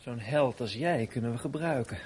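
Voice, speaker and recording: A man playing a cocky older teenage gang leader in a radio play, husky and commanding. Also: mid-range voice, normal speed, darkish tone.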